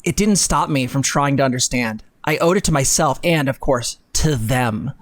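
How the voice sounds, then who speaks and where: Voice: mid-range voice, moderate pace, dry recording. Close, dry young adult voice reading aloud. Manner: breaks off to comment on the text. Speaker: livestream co-host. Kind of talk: dramatic reading with asides. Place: indoor room, livestream set-up.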